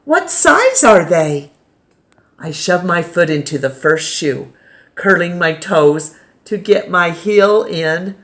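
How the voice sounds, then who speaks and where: A woman reading a children's picture book aloud as storytime reader, with dramatic pauses and emphasis. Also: lowish voice, steady tone, fairly bright tone.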